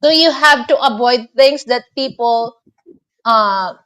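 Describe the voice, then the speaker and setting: A woman's voice: a little high, slow pace, a bit bright. A middle-aged Filipino woman hosting a live online interview, rambling with many filler phrases and restarts. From Philippines. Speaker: host.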